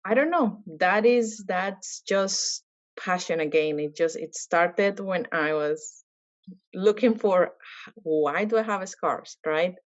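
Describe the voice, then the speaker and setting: Low-voiced colombian woman, chatty, lots of ums, dull dry call audio. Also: slow pace, no echo. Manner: animated, many fillers and restarts. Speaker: guest, medical scientist. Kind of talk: casual interview answers.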